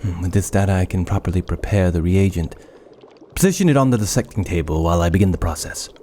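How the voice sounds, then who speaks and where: Man playing an arrogant mad scientist type, deep voice, bossy and exact, horror radio play. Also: lively intonation, quick pace, dark tone, slight background noise. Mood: clinical. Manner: imperious, clinical, precise. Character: arrogant, obsessive young male medical scientist. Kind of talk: audio drama acting.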